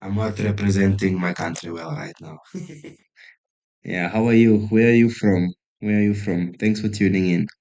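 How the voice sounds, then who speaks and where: A man's voice: on the low side, moderate pace, a little mellow, lo-fi sound. A man from South Africa streaming chess, thinking aloud and chatting casually with viewers in a self-deprecating way. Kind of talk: casual live-stream commentary.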